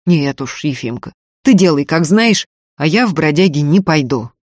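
A narrator as a gruff, stubborn peasant man. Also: expressive, normal speed, no room sound, studio quality, natural voice. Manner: gruff, bassy, blunt. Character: Antip, stolid stubborn deep-voiced peasant man.

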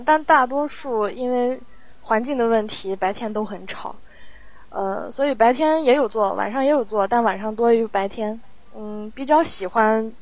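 A woman chatting casually in an interview as a guest sound editor, telling her experiences in an animated, rambling way. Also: on the high side. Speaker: audio drama post-production sound editor, guest.

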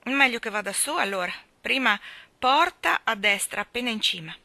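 A woman's voice: fairly high pitch, expressive, moderate pace, gravelly, sharp tone, no echo, pitched above the performer's own voice, exaggerated voice. A woman voicing a phlegmatic, matter-of-fact older aunt. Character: older aunt, phlegmatic, a bit deaf housewife.

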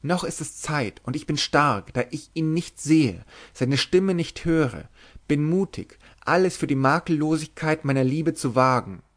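A young noble lady of a gothic storybook, voiced by a male narrator, anxious and devout. The voice is lifted in pitch and pleads fervently, with a tremble of fear in the formal, literary phrasing. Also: unhurried, fairly bright tone. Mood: urgent pleading. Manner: stammering, fearful, fervent pleading. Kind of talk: dramatic literary audiobook reading.

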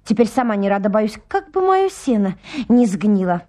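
An actress playing a young landowner's daughter, bustling, hospitable and chattering, in a comic radio play. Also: female voice, medium pitch, very animated, normal speed, dark tone, close to an everyday voice. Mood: chatty, welcoming. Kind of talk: stage comedy acting in a radio play.

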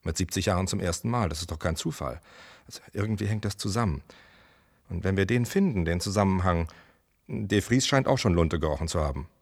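Male researcher, radio drama: eager, wry, self-assured, lowish pitch with animated swings. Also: brisk. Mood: intrigued. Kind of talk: naturalistic German radio play dialogue.

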